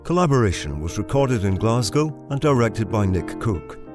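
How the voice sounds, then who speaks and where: A male announcer with a fairly low voice and lively intonation. He reads scripted credits while piano music plays clearly behind him. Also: moderate pace.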